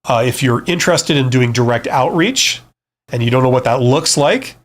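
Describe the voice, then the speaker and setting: Male live stream host, career advisor type. Frank, casual, conversational delivery with frequent check-ins, medium pitch. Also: moderate pace. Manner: conversational, frank, often checks in with "right?" and "you know?". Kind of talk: casual live stream advice, answering viewer comments.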